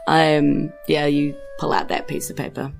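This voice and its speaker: A woman's voice: low voice, flat delivery, unhurried, gravelly, soft background music. A woman casually narrating an improvised tabletop game as game master.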